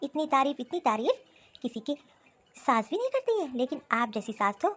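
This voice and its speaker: A woman voicing a jealous, sulky sister-in-law who talks in a sarcastic, complaining way in an animated family drama. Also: high voice, moderate pace. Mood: sulky sarcasm.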